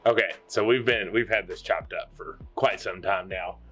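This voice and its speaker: A man's voice: deep voice, moderate pace, a bit bright, soft background music. A young man with a Kentucky accent, giving an easygoing, plain-spoken gardening tip at home to a handheld camera. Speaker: homestead vlogger. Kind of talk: casual tip-giving chat. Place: home, indoors. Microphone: selfie camera at arm's length.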